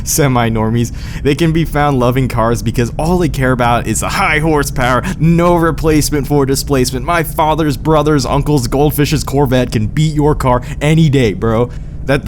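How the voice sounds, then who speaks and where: A hoarse young man who is sick, chatting casually as a car vlogger, rambling with frequent laughs, fillers and swearing. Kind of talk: casual talk to camera. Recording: steady low rumble behind.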